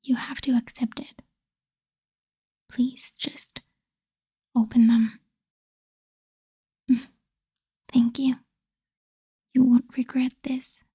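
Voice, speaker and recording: A woman voicing a possessive, lovesick female vampire in an intimate, breathy ASMR roleplay whisper, pleading and tender yet menacing. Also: high-pitched, level tone, mellow, no echo, clean studio sound, exaggerated voice. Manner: slow, pleading, intimate delivery.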